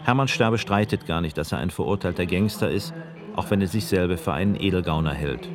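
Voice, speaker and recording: A man narrating a documentary in an even, explanatory way. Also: sits low, fast, a crowd in the background. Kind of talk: scripted narration.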